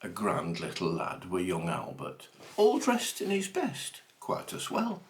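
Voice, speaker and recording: A man theatrically reciting comic verse with dramatic, deliberate phrasing. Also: low-pitched, huge pitch swings, slight background noise. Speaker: reader of a comic monologue poem.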